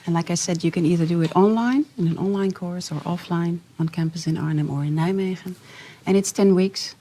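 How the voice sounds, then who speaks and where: A woman's voice: lowish voice, a little fast, mellow, slight background noise. A woman from student support explaining things in a friendly, informative panel chat. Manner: explanatory, friendly, corrects herself.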